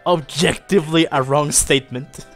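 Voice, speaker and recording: A man's voice: fairly high pitch, moderate pace, soft background music. A man casually reacting to a story in joking, sweary banter as a listener.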